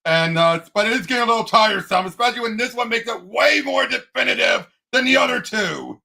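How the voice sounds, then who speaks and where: A man co-hosting a podcast, discussing a movie casually in an excitable, emphatic fan's way. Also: high-pitched, brisk, vocal fry. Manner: excitable, emphatic fan talk. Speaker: podcast co-host.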